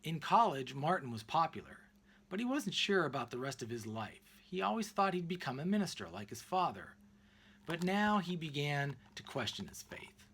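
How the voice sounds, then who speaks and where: A man reading a children's picture book aloud expressively, in deliberate phrases with emphatic pauses. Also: a little high, big pitch swings, fairly bright tone, husky. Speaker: read-aloud narrator.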